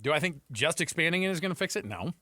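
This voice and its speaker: Casting: American male sports radio host, bright and punchy, dry studio mic, casual opinionated commentary with rhetorical questions. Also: a little high, expressive, fast, no room sound. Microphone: close studio headset mic.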